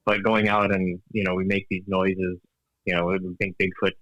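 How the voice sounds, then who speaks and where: A man's voice: low voice, flat delivery, moderate pace, fairly bright tone, no room sound, muffled recording. A man talking as a guest author in a casual interview, thoughtful and hesitant, with many fillers and restarts and frequent laughs. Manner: calls himself an engineer, evidence-minded.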